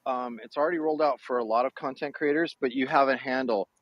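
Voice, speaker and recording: A man with a mid-pitched voice, heard close and dry. He explains step by step, as a panel guest. His delivery is informative and even. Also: fast talker, no room sound, dull audio. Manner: informative, walks through details step by step.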